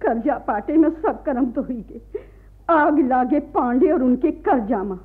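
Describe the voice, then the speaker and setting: An actress in a radio play voicing a fiery, bitter village wife who scolds and complains in a rural Awadhi North Indian accent. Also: female voice, sits high, expressive, measured pace, husky, exaggerated voice. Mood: indignant. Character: fiery, bitter peasant wife, sharp-tongued, fed-up. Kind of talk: Hindi radio play acting. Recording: muffled lo-fi radio archive recording.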